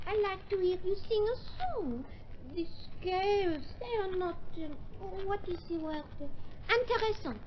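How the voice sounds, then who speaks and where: A performer playing an earnest, affectionate small boy with a French accent and halting, polite English in an old radio drama. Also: very high voice, expressive. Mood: pleading. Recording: muffled, faintly hissy old radio recording.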